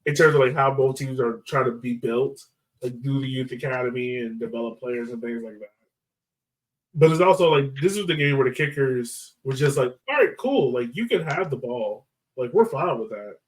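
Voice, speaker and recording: A man's voice: mid-range voice, recorded from a distance, poor audio. Male sports podcast co-host, informal and slangy, recorded slightly away from the mic. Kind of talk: casual sports chat.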